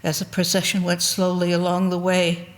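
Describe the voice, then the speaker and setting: A woman's voice: lowish voice, monotone, moderate pace, poor audio. A woman reading a scripted devotional story in a solemn narrative style at a church service. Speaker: reader at a church Good Friday service.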